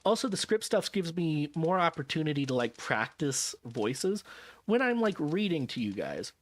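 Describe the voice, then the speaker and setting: A man streaming to his viewers, talking loosely and wryly while he plans out loud. He sounds worn out and jet-lagged, his voice sitting a little high and bouncing widely up and down. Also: big pitch swings, normal speed. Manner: rambling, self-correcting, wry. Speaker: vtuber streamer. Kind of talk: casual stream chat.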